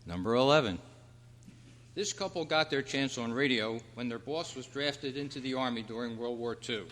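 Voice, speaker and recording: A man hosting a trivia quiz at a club meeting, reading out questions in a measured, announcing way. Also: mid-range voice, a bit bright. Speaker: quiz host. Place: hall at a convention club meeting. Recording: reverberant hall, clear background noise and hum.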